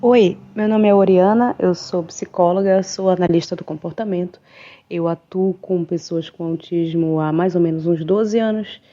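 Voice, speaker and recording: Low, dark-voiced woman on lo-fi message. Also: moderate pace. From Amapá, Brazil. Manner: formal, thankful. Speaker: psychologist leaving a recorded message. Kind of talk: recorded message.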